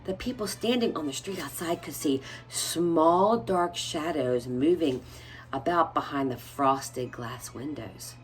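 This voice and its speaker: A woman's voice: deep voice, a little slow, rough recording. A woman voicing an old grandfather who tells a mysterious tale in a dramatic, suspenseful storybook manner. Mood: hushed, mysterious.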